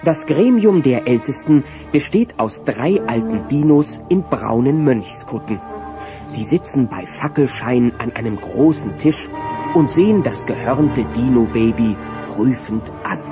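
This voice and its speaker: A man's voice: medium pitch, lively intonation, unhurried. A man narrating a children's audio drama in a scripted storytelling style. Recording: dull cassette sound, music behind.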